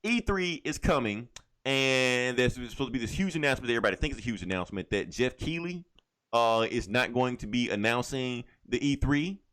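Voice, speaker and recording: A man co-hosting a podcast, chatting casually about comics and games in a profane, opinionated, animated way. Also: mid-range voice, brisk, clean tone. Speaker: podcast co-host. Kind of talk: casual comic book and video game chat.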